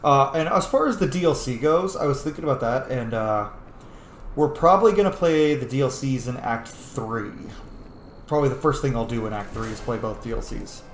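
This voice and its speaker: A man's voice: mid-range voice, expressive, normal speed. Man muttering gameplay commentary, roomy sound. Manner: muttering to himself. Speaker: game streamer. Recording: roomy, noisy with game sounds. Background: video game ambience.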